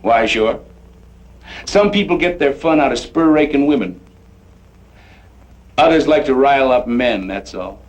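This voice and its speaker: An actor playing a swaggering, mocking Western gunslinger with a folksy drawl, in the style of old-time radio drama. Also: male voice, medium pitch, expressive, measured pace, pauses often, slight background noise, lightly acted, low-quality recording. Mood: taunting menace. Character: swaggering, taunting Western gunslinger drifter who sings.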